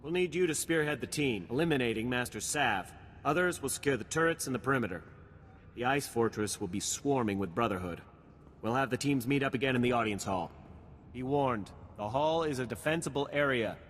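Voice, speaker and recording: An actor playing a practical, decisive army lieutenant in a video game, in a businesslike briefing tone. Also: male voice, mid-range voice, lively intonation, a little fast, a bit bright, long reverb tail, a little hiss or hum, close to an everyday voice. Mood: decisive, urgent.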